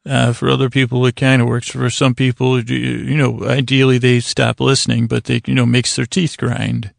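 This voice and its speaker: A man with a creaky voice hosting a bedtime podcast, talking in a soothing, meandering monologue with halting pauses and digressions. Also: medium pitch, flat delivery, no echo, clean studio sound. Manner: soothing, lulling delivery. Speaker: podcast host.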